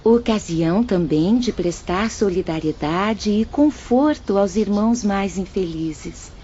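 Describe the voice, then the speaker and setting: A woman plays a caring young spirit sister with a soft-toned, dark voice of medium pitch. She speaks gently and formally in an audio drama, slightly dull in sound. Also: lively intonation, unhurried, close to an everyday voice. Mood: kindly. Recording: dull lo-fi audio with faint noise.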